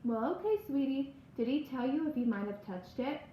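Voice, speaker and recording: A woman voicing a weary but sweet, indulgent mother in a storybook, gentle and lilting. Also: sits high, expressive, normal speed, dull audio, pitched above the performer's own voice. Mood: playful, coaxing. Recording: roomy echo, faint background noise.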